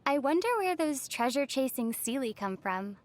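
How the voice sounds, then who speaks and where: A woman playing a gentle young female adventurer in a video game, speaking in a soft, composed, dryly playful way. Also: high-pitched, animated, moderate pace. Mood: wistful.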